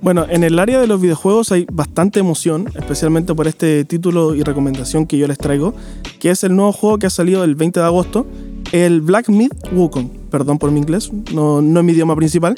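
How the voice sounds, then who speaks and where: A man presents games in careful, formal sentences. His voice is a little high and mellow. Soft music sits under his talk. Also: fairly quick. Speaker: video game panelist. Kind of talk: panel commentary.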